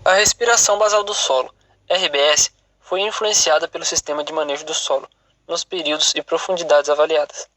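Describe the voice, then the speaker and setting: A man's voice: on the high side, fairly even intonation, fairly quick, a bit bright, dry recording, rough recording. A man with a Brazilian accent reading a scripted academic presentation aloud as a student, in a formal, recited way with occasional stumbles.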